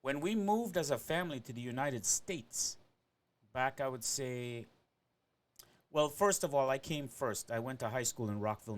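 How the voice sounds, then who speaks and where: A man in his forties who grew up in Trinidad and Tobago and Venezuela talks through a personal monologue for his podcast. He rambles, circles back on his own points, and keeps checking in with "right?". His voice sits at an everyday middle pitch. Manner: rambling, tags thoughts with "right?".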